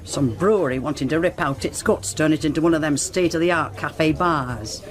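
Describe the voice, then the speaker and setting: A woman's voice: low voice, normal speed, faint music behind, close to an everyday voice. An actress playing a blunt, sardonic middle-aged club landlady in a radio drama. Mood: wry, blunt. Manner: blunt, wry, colloquial. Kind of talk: naturalistic radio drama acting.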